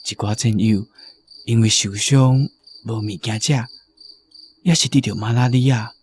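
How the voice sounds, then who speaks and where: An actor playing a weary, gentle middle-aged soldier back from war in a radio drama, hoarse and subdued, with a Taiwanese accent. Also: male voice, on the low side, steady tone, measured pace, a little hiss or hum, close to an everyday voice. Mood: somber, gentle.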